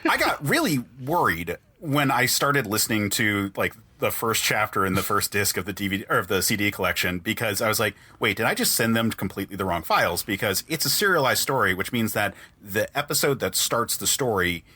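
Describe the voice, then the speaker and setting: A man's voice: lowish voice, animated, a little fast, few pauses, pushed and bright, breathy edge, dry recording. A man hosting a podcast in a casual, informal way, swearing freely as he chats. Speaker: podcast host.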